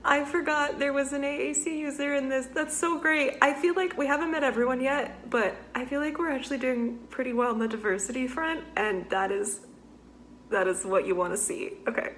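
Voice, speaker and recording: A woman in her twenties hosting a reaction video, chatty, laughing often and throwing in quick comments. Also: sits high, a bit bright, a little hiss or hum.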